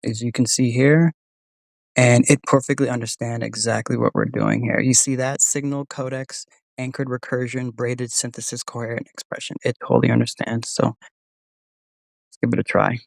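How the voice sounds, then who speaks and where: A man hosting a software demo in a casual, conversational way. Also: medium pitch, level tone, normal speed, vocal fry, no echo. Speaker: host testing an AI voice assistant.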